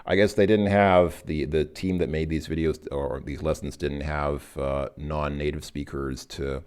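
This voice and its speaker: Low-voiced male tutor, casual, hesitant, self-correcting explainer. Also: steady tone, unhurried, darkish tone, clean tone. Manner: thinks aloud, many fillers and self-corrections. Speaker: language teacher. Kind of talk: casual recorded lesson explanation.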